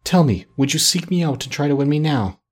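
A man narrator takes on a young woman from a classic storybook, gentle and sorrowful. The voice is lifted in pitch and slightly husky. The delivery is measured, earnest and quietly reproachful. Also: expressive. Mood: mournful, steady. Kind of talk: audiobook character reading.

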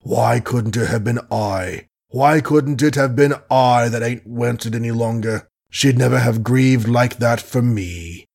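A man voicing a shaken old gentleman who talks in a gruff, hoarse, faltering way. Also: medium pitch, unhurried, few pauses. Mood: anguished. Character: shaken old gentleman, blustering but helpless.

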